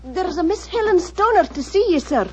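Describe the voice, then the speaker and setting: An actress playing a deferential older housekeeper in an old-time radio drama. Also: female voice, very high-pitched, lively intonation, moderate pace, darkish tone. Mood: polite. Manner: deferential, servant-like.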